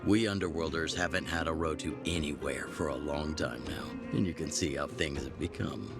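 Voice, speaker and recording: An actor playing a gruff veteran rebel chief in a video game, measured and authoritative, with game music behind. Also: male voice, extremely low pitch. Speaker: underground resistance chief.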